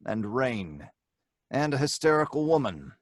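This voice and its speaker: Radio-play lead: stubborn, wry small-time crook and storyteller, medium-pitched voice, no room sound. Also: male voice, sing-song, measured pace, no echo, clean studio sound. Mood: wry, eerie narration. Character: hard-boiled, sardonic male crook who narrates his predicament. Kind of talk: old-time radio drama acting and first-person narration.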